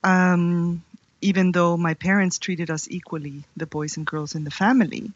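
A woman's voice: on the low side, steady tone, normal speed, no room sound. Woman, middle-aged, from Ecuador, interview guest, dry close sound, lo-fi. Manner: thoughtful, explains with examples. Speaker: guest, company founder. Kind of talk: conversational interview answers.

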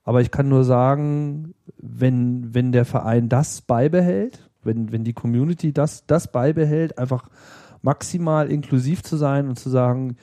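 Casting: middle-aged man, very mellow dark tone, casual podcast talk, searching and repetitive phrasing. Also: medium pitch, normal speed. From Hanover, western Germany. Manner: hesitant, repeats words while forming thoughts.

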